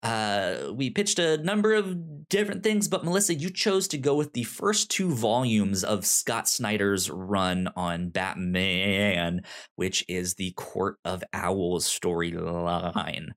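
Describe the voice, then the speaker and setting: A man hosting a podcast, chatting casually and enthusiastically, with a halting, rambling delivery and stuttered repeats of syllables and words. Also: fairly low pitch, fairly bright tone. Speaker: podcast host. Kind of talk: casual podcast recommendations and chat.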